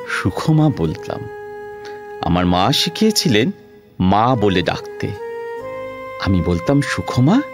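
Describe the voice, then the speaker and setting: Gentle Kolkata doctor, unhurried. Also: male voice, medium pitch. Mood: moved, earnest. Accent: Kolkata Bengali. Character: kind, gentle young male doctor, around thirty. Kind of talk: Bengali audio drama acting. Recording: music behind the voice.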